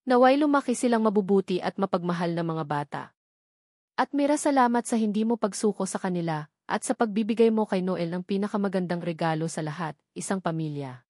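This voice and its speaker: A Filipino woman narrator voicing a remorseful older mother's letter to her family in a solemn, measured, apologetic manner for an audio story. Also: mid-range voice, a little mellow, no echo, clean studio sound, close to an everyday voice. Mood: remorseful, tender.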